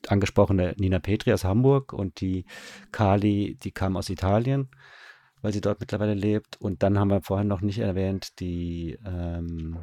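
A man being interviewed as a radio drama director in casual conversation, haltingly searching for words as he explains. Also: low-pitched, steady tone, dark tone, no room sound.

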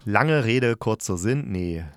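A man's voice: lowish voice, expressive, slow, clean tone, no echo, studio quality. A man hosting a review show, speaking casually and chattily to listeners, thinking aloud and correcting himself as he goes. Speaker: host of an audio drama review show.

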